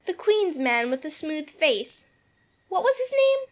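A man's voice: extremely high pitch, very animated, measured pace, voice pushed up, full character voice. A man voicing an eager little girl in an audiobook, chattering breathlessly. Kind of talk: audiobook character voice.

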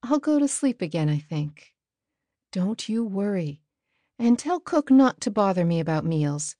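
A woman reading a storybook and voicing a sleepy, gentle mother who reassures her child. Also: medium pitch, very animated, no room sound, studio quality. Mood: drowsy. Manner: slow, soft, drowsy reassurance.

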